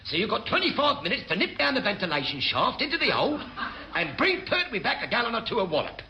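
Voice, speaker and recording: An actor playing a scheming, beer-loving chief petty officer in a radio sitcom, wheedling and blustering, on a noisy old recording. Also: male voice, very high voice, wildly swooping pitch, fast talker, pushed and bright, exaggerated voice. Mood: bossy coaxing.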